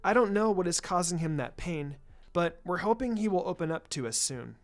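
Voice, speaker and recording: A woman's voice: fairly low pitch, animated, fairly quick, soft background music. A woman voicing a polite, earnest young ninja girl with a formal, careful manner in a story. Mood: thoughtful.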